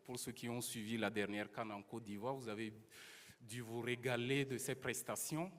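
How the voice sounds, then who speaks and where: A man's voice: medium pitch, fairly even intonation, normal speed. A man introducing the next presenter with a courteous, welcoming formal announcement. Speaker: presenter handing over. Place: large conference hall. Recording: hall reverb with crowd.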